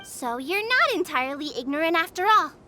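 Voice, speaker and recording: A woman voicing a small, haughty, theatrical spirit creature with a squeaky voice in a video game. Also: sing-song, brisk, pushed and bright, heavily acted. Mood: proud. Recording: game music behind the voice.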